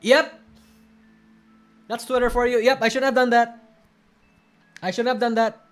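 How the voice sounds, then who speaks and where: A man reacting to clips on a live stream, rambling and blunt, swearing often, restarting sentences, and breaking into sudden loud bursts. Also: very high-pitched, little pitch movement, a little slow, soft background music. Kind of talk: casual live-stream commentary.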